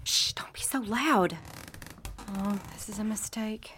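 An actress with a Southern US accent playing a guarded, sharp-tongued young married woman from the 1930s in an old-time radio drama. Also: medium pitch, measured pace, slight background noise, close to an everyday voice. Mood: uneasy. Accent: American South.

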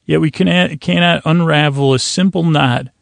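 A man with a creaky voice hosting a sleep podcast, rambling gently and haltingly through a soothing, meandering bedtime monologue. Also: a little high, steady tone, dry recording. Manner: meandering, halting, repeats and trails off into tangents. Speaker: podcast host. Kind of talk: rambling bedtime monologue to help listeners fall asleep.

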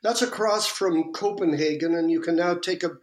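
An older man from Sweden, raised in Canada, talking slowly as an interview guest with many pauses. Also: fairly high pitch, reverberant. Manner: measured, with frequent pauses and uhs. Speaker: guest, industrial designer. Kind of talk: reflective interview talk.

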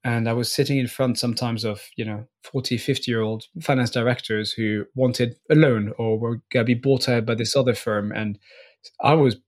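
A man's voice: medium pitch, normal speed. Interview guest: man, forties, from France, blended French and Northern Irish accent, easygoing, repeats words as he thinks. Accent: mixed French and Northern Irish. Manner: relaxed, frequent restarts and small laughs. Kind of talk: casual interview chat.

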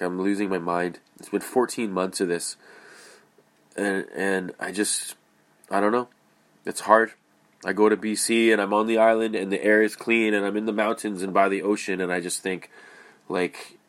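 Stuffy nosed guy, kinda low voice, rambly podcast chat w lots of ums. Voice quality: nasal, stuffy. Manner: rambling, many fillers and restarts. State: stuffed-up, congested from allergies. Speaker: podcast host. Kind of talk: casual solo podcast monologue.

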